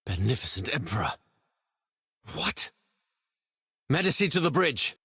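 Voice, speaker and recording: An actor playing a dutiful naval bridge officer in a sci-fi game cutscene, reporting in military fashion. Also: male voice, medium pitch, very animated, unhurried, sharp tone, slightly rough, no echo, clean studio sound, full character voice. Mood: alarmed. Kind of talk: dramatic game cutscene acting.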